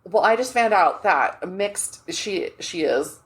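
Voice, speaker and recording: An American woman co-hosting a podcast, chatting casually with expressive, laughing asides and restarts. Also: medium pitch, takes it slowly. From United States. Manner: expressive, gestures and laughs, trails off mid-thought. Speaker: podcast co-host. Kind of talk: casual chat.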